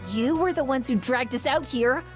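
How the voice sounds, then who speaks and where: A woman playing a loud, bossy, obnoxious young woman with a shrill voice in a visual novel. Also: normal speed, soft background music, close to an everyday voice. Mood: indignant.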